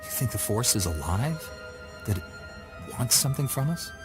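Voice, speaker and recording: A man's voice: mid-range voice, very animated, moderate pace, close to an everyday voice. A man voicing a young, action-minded Jedi knight who speaks directly and dryly, in a studio video game cutscene. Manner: direct, dry. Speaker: Jedi apprentice. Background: orchestral game music.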